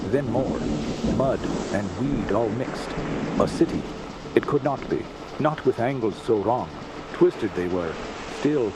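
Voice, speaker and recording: An actor playing a weary, haunted sea captain who tells his grim tale in halting, inverted phrases in a radio drama. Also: medium pitch, very noisy, natural voice. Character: haunted, weary Scandinavian sea captain recounting a dreadful voyage. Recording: loud sea and boat ambience behind.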